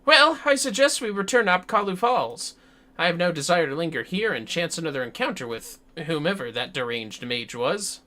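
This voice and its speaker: A man's voice: a little high, very animated, bright, forceful tone, pitched above the performer's own voice. A man voicing a courteous, earnest, scholarly summoner from a fantasy game, speaking formally and articulately. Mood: wary. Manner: formal, articulate, measured wording.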